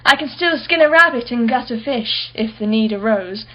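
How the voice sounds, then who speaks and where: A haughty, wealthy woman collector in a radio drama. Her voice sits fairly high, and she speaks in an arch, witty, defensive way. The sound is slightly dull. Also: lively intonation, normal speed. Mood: nostalgic, proud. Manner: arch, articulate, sardonic. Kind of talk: radio play acting.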